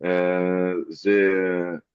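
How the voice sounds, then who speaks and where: Male student, halting speech, lowish voice, muffled lo-fi sound. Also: completely flat delivery, clear, resonant tone. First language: Arabic. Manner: hesitant, halting, many "uh" pauses. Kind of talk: class conversation. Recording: dull, lo-fi audio.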